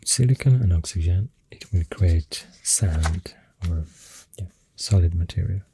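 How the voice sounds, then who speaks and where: An ASMR creator with a Swedish accent, speaking very softly and often near a whisper, thinking aloud hesitantly into a close microphone. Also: low voice, measured pace, background noise. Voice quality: very soft, breathy, often dropping to a whisper. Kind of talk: soft-spoken ASMR, rambling science facts.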